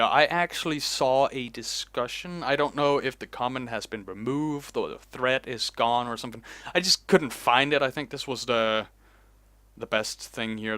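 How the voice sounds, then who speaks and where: Guy rambling thru his vlog at his computer, takes his time, smooth clear voice. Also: mid-range voice, a bit bright, no echo. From Denmark. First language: Danish. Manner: rambling, unhurried, chatty. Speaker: host. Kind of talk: casual reaction vlog commentary. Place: home, alone at a computer.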